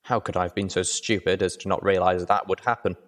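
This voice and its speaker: A man's voice: low-pitched, fairly even intonation, fast. A man reading scripted adventure fiction as the narrator.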